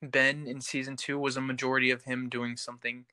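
A young man with a bright, forward voice at an average pitch. He speaks as a podcast co-host in casual discussion, explaining his opinions step by step. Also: level tone, a little fast, clean tone. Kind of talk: casual panel chat about TV.

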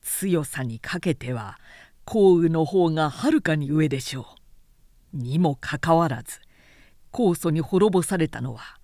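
A woman's voice: sits low, animated, a little slow, dark tone, no room sound. An earnest male retainer, voiced by a woman narrator, counsels his lord. The speech is formal, deferential and carefully reasoned, in audiobook style. It moves without haste in a dry recording. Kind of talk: audiobook character acting.

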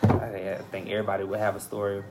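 A young American man chatting casually on a podcast, thoughtful and halting as he searches for his words. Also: on the low side, monotone. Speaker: podcast guest. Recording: faint music behind the voice.

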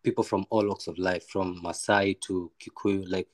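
A man's voice: deep voice, flat delivery, normal speed, no room sound. A man with a Kenyan accent talking casually as a podcast guest, informal and sometimes searching for words. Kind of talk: casual chat.

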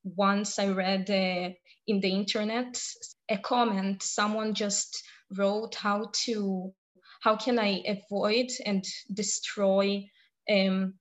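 A young woman from Israel answering interview questions, hesitant and pausing often with fillers. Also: mid-range voice. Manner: hesitant, many pauses and fillers.

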